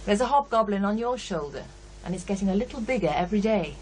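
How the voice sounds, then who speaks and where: An actress playing a candid, sceptical psychiatrist in a radio drama, with a hesitant, thoughtful way of talking. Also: female voice, medium pitch, expressive, fast, darkish tone, slight background noise, close to an everyday voice, low-quality recording. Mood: pointed, brisk. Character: brisk, candid female psychiatrist, sceptical yet unsettled. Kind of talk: naturalistic radio drama dialogue.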